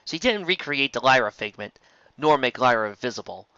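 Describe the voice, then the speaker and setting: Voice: medium pitch, lively intonation, a little fast, pushed and bright, no echo, lo-fi sound. A narrator reading scripted fan fiction as an audiobook, in a storytelling style.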